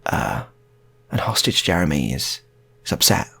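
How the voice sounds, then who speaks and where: A man's voice: rumbling low, big pitch swings, unhurried, gravelly, bright, forceful tone, breathy edge, pitched below the performer's own voice, heavily acted. A man voicing a mild, polite police officer in an improvised story, hesitant and matter-of-fact. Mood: calm, matter-of-fact.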